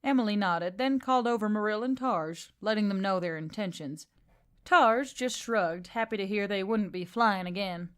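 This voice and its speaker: A woman's voice: mid-range voice, moderate pace, smooth. A woman reading scripted story narration as the narrator of a work of fiction.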